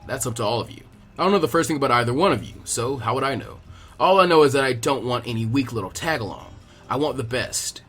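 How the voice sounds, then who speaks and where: A man puts on the voice of a gruff veteran ninja teacher in an anime fan story. He speaks low, with a dry, unhurried and dismissive manner, as if effort bores him. Music plays underneath. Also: animated, a bit bright. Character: gruff, lazy adult male elite ninja instructor. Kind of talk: acted fan fiction reading.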